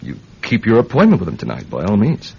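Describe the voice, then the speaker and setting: A brisk intelligence agent in an old radio drama. He is very low-voiced and dryly probing, with big swings in intonation, on a muffled old recording. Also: wildly swooping pitch, creaky, husky, heavily acted. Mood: brisk, pressing. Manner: probing, dry, wry.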